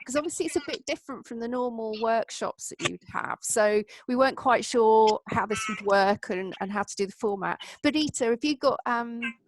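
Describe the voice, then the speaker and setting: A woman hosting a casual online group discussion over a video call. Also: fairly high pitch. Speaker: workshop host. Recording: noisy online call with clicks.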